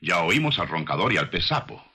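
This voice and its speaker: A man's voice: low voice, big pitch swings, fairly quick, bright, forceful tone, slightly rough. A man delivering scripted nature documentary narration in a didactic manner, addressing the listener as a teacher would. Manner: didactic, rhetorical questions to the listener.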